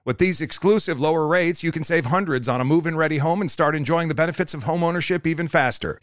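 A man's voice: a little high, fairly bright tone, no room sound, clean studio sound. A man delivering scripted commercial narration as an advertisement announcer.

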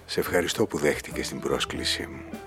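An actor playing a smooth wealthy married businessman in a radio drama, talking unhurriedly and insinuatingly, often dropping to a breathy murmur. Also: very deep voice, fairly bright tone, soft background music, full character voice. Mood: suave, seductive. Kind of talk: Greek podcast radio play acting.

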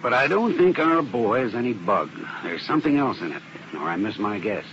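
An actor playing a methodical fire investigation specialist in a vintage radio drama, matter-of-fact and explanatory. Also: male voice, medium pitch, big pitch swings, fairly quick, close to an everyday voice. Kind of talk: 1950s radio drama acting. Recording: muffled, hissy vintage radio broadcast.

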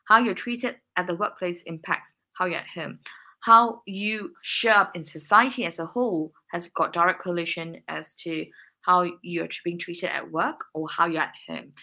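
Podcast guest: woman, lower pitch, bright tone, earnest and laughing often. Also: unhurried, low-quality recording. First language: English. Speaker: guest, workplace diversity consultant. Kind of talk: podcast interview answers.

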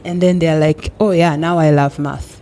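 A woman from Tanzania, a guest in an interview, talking in an animated way with quick laughs and many fillers. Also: low voice, a little hiss or hum. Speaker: edtech guest. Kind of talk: casual interview chat.

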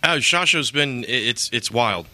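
A man being interviewed at a trade show, hoarse from losing his voice and stumbling over his words. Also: mid-range voice, lively intonation, measured pace, bright, forceful tone, dry recording. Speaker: interview guest.

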